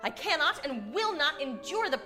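A woman's voice: sits high, sing-song, moderate pace, music in the background. A woman playing a haughty, jealous young wife in a theatrical reading of a period comic ghost story. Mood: indignant. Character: indignant jealous young wife, melodramatic narrator of a ghost comedy.

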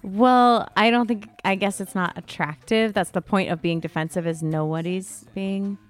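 A woman's voice: mid-range voice, fairly even intonation, normal speed. Californian woman bantering over music. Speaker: podcast co-host. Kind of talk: casual comedic chat.

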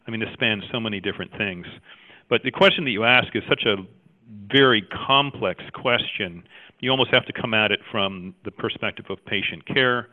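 A middle-aged male cardiologist from Jamaica, raised in Canada, answering interview questions thoughtfully, with many fillers and restarts. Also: lowish voice. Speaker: cardiologist guest. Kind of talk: interview conversation. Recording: tinny phone-like line.

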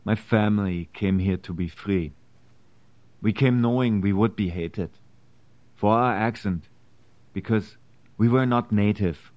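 An actor playing an anxious, reluctant immigrant tradesman with a foreign accent in a historical drama, speaking in halting, careful phrases. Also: on the low side, darkish tone, natural voice. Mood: earnest, troubled. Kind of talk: period historical drama acting.

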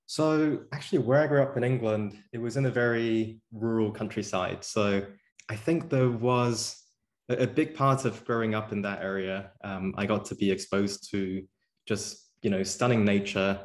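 A young man from South Korea, a guest on an interview podcast, answering in a relaxed, thoughtful way with frequent fillers and restarts. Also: lowish voice, moderate pace. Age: young adult.

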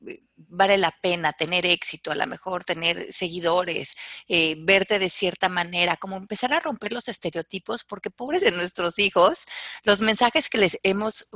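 A woman's voice: medium pitch, bright, forceful tone, no echo. A woman with a Mexican accent speaking as a life coach, explaining self-help ideas in an emphatic, didactic way with examples.

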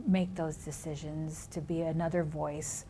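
A woman's voice: low voice, flat delivery, unhurried, dark tone, a little hiss or hum. A woman whose first language is Spanish, hosting a reflective conversation about education, with frequent restarts. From California, raised in Minnesota. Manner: reflective, many restarts and "you know"s. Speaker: host.

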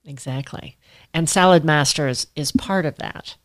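A woman's voice: deep voice, normal speed, no room sound. A woman hosting an interview podcast, affirming her guest with frequent mm-hmms and kind words. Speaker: podcast host and coach.

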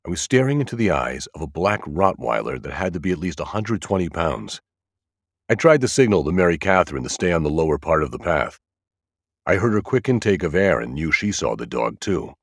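A man reading scripted first-person thriller narration as an audiobook, with an expressive, dramatic storytelling delivery. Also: low voice, brisk, no echo, clean studio sound.

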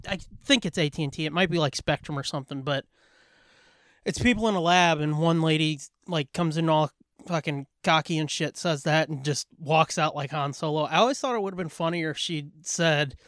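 A man's voice: fairly high pitch, normal speed, fairly bright tone, dry recording, clean studio sound. A man co-hosting a podcast in casual comic banter, crude, profane and joking. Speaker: podcast co-host.

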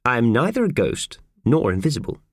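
A man voicing a calm, helpful stick insect with formal, polite manners, in a children's audiobook. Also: medium pitch, fairly quick, no room sound, clean studio sound, voice pushed up.

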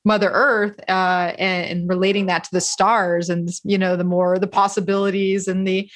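A woman's voice: mid-range voice, flat delivery, moderate pace, dry recording, clean studio sound. A woman from California hosting a podcast interview, upbeat and chatty with some fillers. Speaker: podcast host.

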